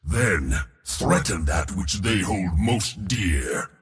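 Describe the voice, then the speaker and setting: An actor playing an arrogant, bloodthirsty war god for a video game, with a pompous, declamatory delivery. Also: male voice, sits low, animated, slow, big-room sound, full character voice. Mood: scornful, impatient. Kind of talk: theatrical video game character acting.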